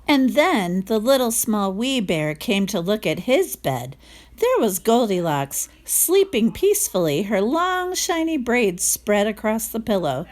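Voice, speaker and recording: A woman reading a children's story aloud in a careful, expressive narrating style. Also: medium pitch. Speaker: storybook reader.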